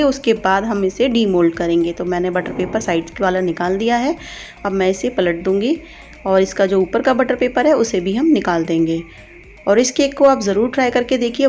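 A woman's voice: medium pitch, a little mellow. A woman giving informal step-by-step instructions as a cooking channel host. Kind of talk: recipe voice-over, step-by-step instruction.

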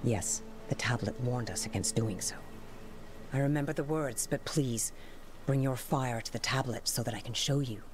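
A woman playing a solemn, guarded female scholar with horns in a video game, speaking in a formal, measured way. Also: a bass voice, over music. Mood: grave. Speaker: linguist.

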